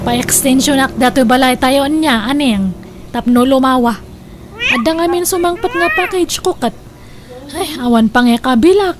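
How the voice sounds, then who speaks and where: A woman's voice: high-pitched, moderate pace, over music, natural voice. A woman playing a pushy, teasing older sister with an Ilocano accent in a comedy radio drama, nagging and exclaiming. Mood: boastful. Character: pushy, teasing older sister urging younger sister toward old suitor. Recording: noisy recording.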